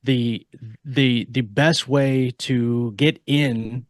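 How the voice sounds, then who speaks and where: A man co-hosting a casual author podcast, giving advice in a halting way as he repeats and rephrases his points. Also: mid-range voice, fairly even intonation, no room sound, clean studio sound.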